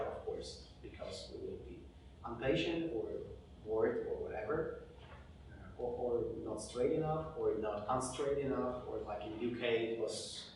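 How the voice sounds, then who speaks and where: A man from Poland speaking as a panelist at a casual industry meetup, halting and restarting, with lively stories. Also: mid-range voice, slight background noise. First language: Polish. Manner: halting, many restarts and fillers, animated storytelling. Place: event venue with audience. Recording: echoey room, mic far off.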